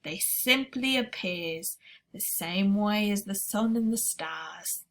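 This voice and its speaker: A gentle old giant in a storybook, as a woman reads him aloud. He speaks slowly and loudly, stretching out each word of his muddled, mangled grammar. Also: lively intonation. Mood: musing. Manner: slow, booming, mangled words.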